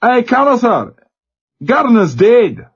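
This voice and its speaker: A man voicing a truculent young male seaman with a slurred Glasgow accent and a throaty, husky voice. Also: extremely high pitch, very animated, slow pace, voice pushed up, full character voice. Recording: dull lo-fi recording.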